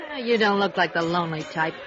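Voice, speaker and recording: A woman's voice: lowish voice, fast talker, a crowd in the background, lightly acted. An actress playing a tired, wisecracking female arcade cashier in a 1950s radio noir drama, streetwise and guarded. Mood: wry, brushing off. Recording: dull vintage radio recording with music.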